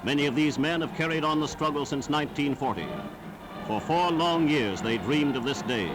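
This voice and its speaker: A man's voice: fairly high pitch, normal speed. A man narrating a 1940s wartime newsreel in a formal, grandiose, declamatory style. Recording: noisy old 1940s newsreel soundtrack.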